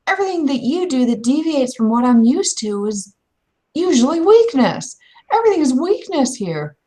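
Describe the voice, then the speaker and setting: A woman's voice: sits high, big pitch swings, moderate pace, warm tone. A woman playing a scornful, combative young werewolf warrior in a tabletop game, blunt and insistent. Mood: scornful, frustrated.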